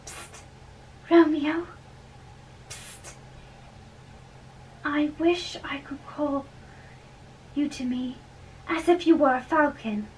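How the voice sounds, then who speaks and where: A woman playing a lovestruck, anxious young maiden in an amateur stage-play reading, theatrical and halting, recorded from a distance. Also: high-pitched. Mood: hushed longing. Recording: distant mic with background hiss.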